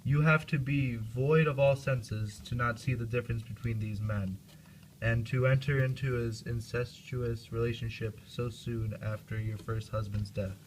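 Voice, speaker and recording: A man's voice: mid-range voice, steady tone, normal speed, slight background noise, natural voice, rough recording. A man playing a brooding, accusing, half-mad young prince in an amateur puppet-show play. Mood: accusing, bitter.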